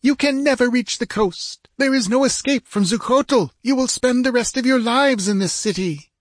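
A man voicing an imperious princess in a fantasy audiobook, speaking in a hurried, ominous manner. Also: very high-pitched, no echo, voice pushed up. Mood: ominous warning. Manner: loud, hurried, foreboding. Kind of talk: audiobook fantasy adventure character.

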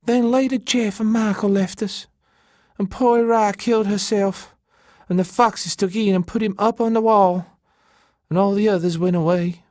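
Fragile, needy story character, female, played by a man; very high pitch, plaintive, excitable, dry close recording. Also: normal speed, no room sound, natural voice. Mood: tearful, plaintive. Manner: pleading, plaintive, repetitive. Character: fragile, weepy woman, needy and childishly excitable.

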